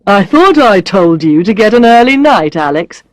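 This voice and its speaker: A woman's voice: medium pitch, big pitch swings, normal speed, no echo, lightly acted. A woman voicing a sardonic, self-assured male boss who talks in a dry, commanding way in an audiobook. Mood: dry teasing. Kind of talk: audiobook character voice.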